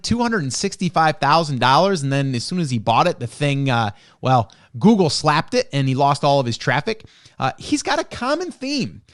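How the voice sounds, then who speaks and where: A man's voice: on the high side, no room sound, studio quality. A man hosting a podcast in a casual, conversational style, chatty and informal with repeated phrases. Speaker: podcast host.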